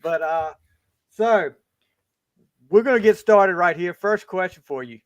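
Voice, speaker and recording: A man's voice: sits high, measured pace, no echo, lo-fi sound. Rambling fifty-year-old man hosting a podcast. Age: 50s. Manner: rambling, with many restarts. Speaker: podcast host. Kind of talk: casual chat.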